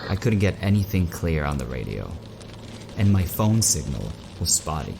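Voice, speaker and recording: A man's voice: low voice, very animated, moderate pace, creaky, heavy background noise, exaggerated voice. An actor playing a weary, affable man driving at night in an audio drama, conversational, hesitant and wry. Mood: weary, reflective. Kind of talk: audio drama acting, narration and dialogue.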